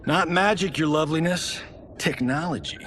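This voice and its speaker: A man's voice: fairly high pitch, moderate pace, a bit bright, slight background noise, natural voice. A man playing a cocky, flirtatious, vain fighter in a video game, speaking in a smug, flippant drawl. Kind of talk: video game cinematic acting.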